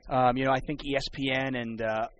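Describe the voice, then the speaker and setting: A man's voice: medium pitch, fairly even intonation, brisk. A man who works as a poker and sports broadcaster, chatting casually on a podcast and rambling with many restarts.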